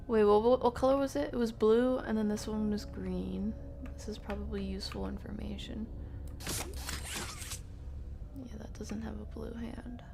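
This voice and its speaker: A woman's voice: mid-range voice, steady tone, normal speed, vocal fry, noisy background. A woman giving casual, playful live game commentary in slangy language, often murmuring to herself and slipping into whispers. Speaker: game streamer.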